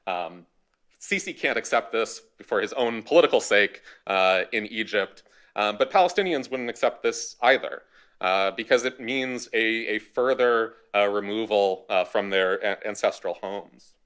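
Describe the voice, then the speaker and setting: A man speaking as a history panelist at a university event, giving a measured academic talk with a dry, wry touch. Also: lowish voice, a bit bright, smooth.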